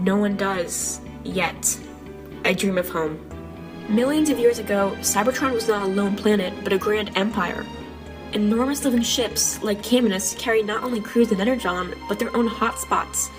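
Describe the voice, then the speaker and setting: A woman voicing an earnest, determined robot heroine in an amateur comic dub, narrating in a reflective, measured way. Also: medium pitch, lightly acted. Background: clear music bed.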